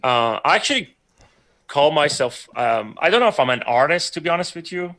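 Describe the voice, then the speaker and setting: Male guest from Iran, bright forceful tone, loose rambling storyteller. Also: fairly high pitch, lively intonation, fairly quick. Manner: rambling, self-correcting storyteller, laughs often. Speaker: guest artist. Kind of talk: casual livestream chat.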